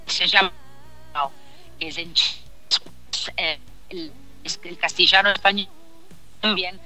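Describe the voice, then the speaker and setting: A woman's voice: lowish voice, fairly even intonation, measured pace, pushed and bright. An Italian-born woman with an Italian accent, talking as a singer in a radio interview, hesitant and searching for words. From Italy. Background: faint background music.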